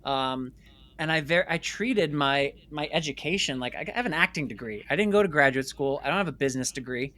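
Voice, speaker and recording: Bright-voiced, emphatic man in interview. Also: a little high, animated, a little fast. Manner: emphatic, repeats phrases for stress. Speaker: interview guest, filmmaker and former stage actor. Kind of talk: conversational storytelling interview.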